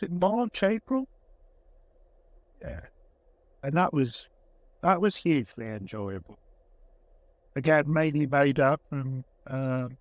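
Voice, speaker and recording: Male craftsman interviewee, unhurried and reflective, halting phrasing, casual tone, dry sound. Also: medium pitch, no echo. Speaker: woodworker, craftsman being interviewed.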